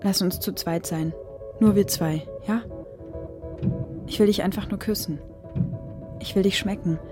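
A woman's voice: mid-range voice, fairly even intonation, unhurried, over music, lightly acted. An actress playing a desperate, lovelorn woman in a radio drama, pleading intimately. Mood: longing, pleading. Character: desperate lovelorn woman, needy and empty inside.

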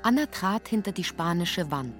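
A woman's voice: on the low side, animated, moderate pace, over music. A woman narrating a literary radio drama as a scripted storyteller.

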